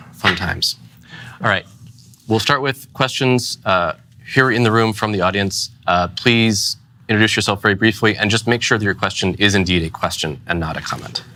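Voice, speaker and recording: A man moderating a panel, brisk and dry as he steers the discussion and opens the floor to questions. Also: on the low side, pushed and bright.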